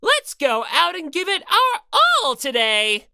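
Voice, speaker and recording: A woman's voice: extremely high pitch, wildly swooping pitch, slow, vocal fry, bright, forceful tone, no echo, clean studio sound, voice pushed up, heavily acted. Woman putting on a cruel, theatrical robot bear mascot. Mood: mock-cheerful announcing. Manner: theatrical, taunting, mock-polite then bellowing. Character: gleefully cruel, bossy, sarcastic robot bear mascot, male. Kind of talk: audiobook character voice.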